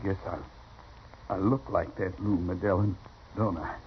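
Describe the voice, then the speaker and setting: An actor playing a poor, meek, badly hurt cowboy in a 1950s radio western, speaking in halting, plain words. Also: male voice, sits low, steady tone. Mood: weak, resigned. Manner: halting, plain-spoken, humble. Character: poor, meek, dying cowboy longing for respect. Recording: noisy, muffled old radio recording.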